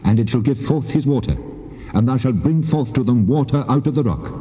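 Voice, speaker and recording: A male actor as a divine judge in an audio scripture drama, speaking with grave authority. The voice is very dark and soft-edged, at a medium male pitch, commanding and measured. The tape recording is dull and lo-fi. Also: close to an everyday voice.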